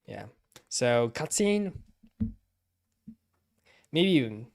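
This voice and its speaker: A man's voice: mid-range voice, big pitch swings, very slow. A man giving casual livestream commentary in a hesitant way, often repeating himself. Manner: hesitant, repeats himself, frequent throat clearing.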